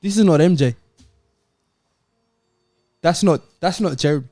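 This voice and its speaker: A man with a slightly high voice trades slangy banter on a sports podcast. He is blunt and teasing, recorded close with a dry sound. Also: a little slow, mellow, no echo, faint music behind. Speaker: podcast panellist. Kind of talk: casual slangy football banter.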